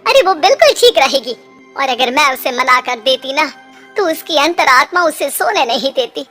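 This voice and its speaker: A woman's voice: sits high, lively intonation, normal speed, bright, forceful tone, soft background music. A woman voicing an affectionate older mother-in-law in a cartoon story, coaxing and fussing with many exclamations. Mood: reassuring.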